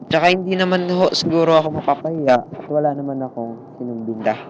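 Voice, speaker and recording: A thrifty young Filipino husband played by an actor in a radio drama, with a fairly high voice. He reasons politely but stubbornly. A little noise sits behind him. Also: fairly even intonation, quick pace, dull audio, close to an everyday voice. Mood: defensive, polite. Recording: faint background noise.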